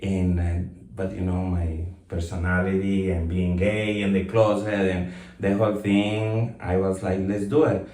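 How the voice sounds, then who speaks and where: A man in his fifties from Colombia speaks in a very low voice, thoughtful and unhurried, often pausing on fillers and restarting his sentences. He sits a little back from the mic, and the room around him sounds echoey. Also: flat delivery, warm tone. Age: 50s. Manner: reflective, with frequent fillers and restarts. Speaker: guest. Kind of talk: casual interview. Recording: roomy echo, mic at some distance.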